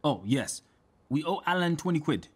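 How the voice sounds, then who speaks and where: An actor playing an amiable, forgetful, earnest British husband in a naturalistic radio soap. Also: male voice, fairly high pitch, sing-song, moderate pace, husky. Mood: earnest, insistent.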